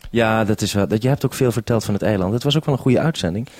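A radio man speaks in a soft-edged, mellow voice, recorded very dry. He handles a two-way link in a hesitant, considerate way, ending his turns with formal handovers. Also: on the low side, quick pace, dry recording. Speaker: radio programme maker.

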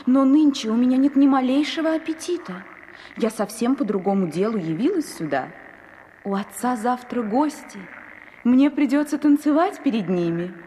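A woman's voice: a little high, lively intonation, normal speed, mellow, a little hiss or hum, close to an everyday voice. A woman playing a coy young female stork with affectedly polite, theatrical manners in a children's fairy-tale audio play. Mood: affected, gracious.

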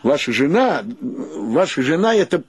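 An actor playing an emotional, flustered gentleman in a theatrical radio play, with a husky voice. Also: a little high, big pitch swings, a little slow, no room sound, heavily acted. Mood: awkward apology. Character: emotional, flustered gentleman, one of three bachelor friends.

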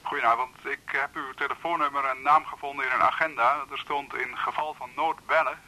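Sharp-voiced policeman on the telephone. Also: mid-range voice, fairly even intonation, rough recording. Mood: formal, grave. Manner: formal, measured. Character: formal police officer bearing bad news by phone. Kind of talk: radio drama acting.

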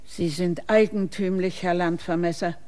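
An actress playing a stern, middle-aged innkeeper who lectures a stranger with condescension in a radio play. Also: female voice, lowish voice, steady tone, normal speed, a little mellow, natural voice. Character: stern middle-aged woman innkeeper, guarding her maid.

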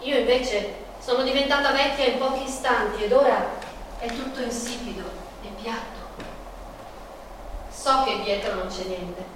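A woman's voice: on the high side, noisy background, lightly acted. An actress as an anguished young woman, echoey and distant. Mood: bitter, melancholic. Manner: dramatic, deliberate, declamatory. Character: Frida Kahlo, young Mexican woman in pain, bitter and intense. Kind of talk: theatrical radio monologue with music. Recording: echoey, distant stage sound with loud music.

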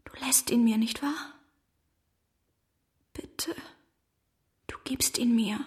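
An actress playing a tender, troubled young woman in a radio drama, breathy and hushed with a hesitant, earnest manner. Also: fairly high pitch, slow, exaggerated voice. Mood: fragile pleading. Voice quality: breathy, often near whisper. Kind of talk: literary radio drama acting.

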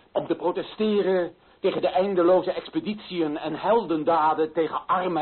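An actor playing an impassioned, indignant author declaiming against colonial injustice in a radio play, his voice husky and his delivery emphatic. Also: sits high, full character voice. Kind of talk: dramatic radio play monologue. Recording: tinny, phone-like narrow-band sound.

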